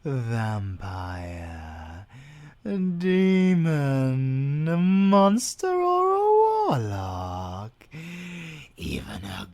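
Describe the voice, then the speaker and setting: A man's voice: mid-range voice, huge pitch swings, extremely slow, darkish tone, heavily acted. A British man playing a sadistic, gloating male werewolf villain with a silky, menacing delivery that drops into whispers. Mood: gloating menace. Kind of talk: audio roleplay acting.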